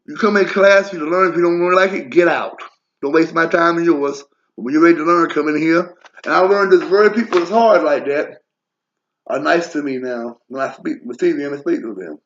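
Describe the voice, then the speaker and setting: A man's voice: on the high side, fairly quick. Blunt, profane man in his forties. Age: 40s. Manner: blunt, profane, wandering asides. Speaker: vlogger. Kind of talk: rambling personal monologue. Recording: lo-fi muffled audio.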